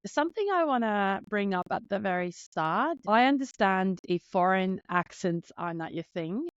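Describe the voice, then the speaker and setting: Finnish-accented woman in her thirties, lowish voice, sincere and a little nervous solo podcast host, dry muffled recording. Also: clear, resonant tone, dry recording. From Finland. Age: 30s. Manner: earnest, openly nervous, heartfelt.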